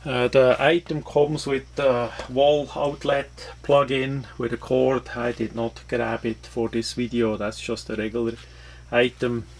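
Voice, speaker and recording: Slow, flat-toned man reviewing a product. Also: mid-range voice. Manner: halting, hesitant phrasing. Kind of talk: casual unscripted product walkthrough.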